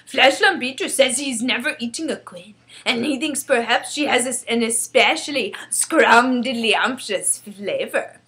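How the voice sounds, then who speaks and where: A woman's voice: fairly high pitch, a little slow, hardly any pauses, fairly bright tone. A woman voicing a big male giant from a storybook, stubborn and gloomy, speaking emphatically in muddled grammar. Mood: matter-of-fact. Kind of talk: storybook character voice.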